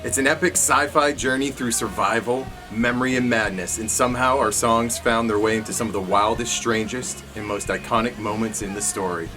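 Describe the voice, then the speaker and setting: A man's voice: medium pitch, fairly even intonation, fairly quick, few pauses. A man from a rock band reading a scripted promo announcement. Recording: music playing behind the voice. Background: music.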